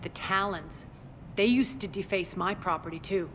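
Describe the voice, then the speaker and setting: An actress playing a ruthless, commanding crime boss in a video game, giving clipped, imperious orders. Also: female voice, fairly low pitch, normal speed, background noise, lightly acted. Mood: cool, confident. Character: commanding, ruthless female crime boss leading her own soldiers. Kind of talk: video game cutscene acting. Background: music.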